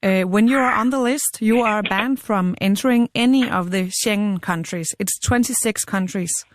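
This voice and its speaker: A woman's voice: mid-range voice, moderate pace, no echo, clean studio sound. A woman with a Danish accent working as a journalist, interviewing and narrating for radio.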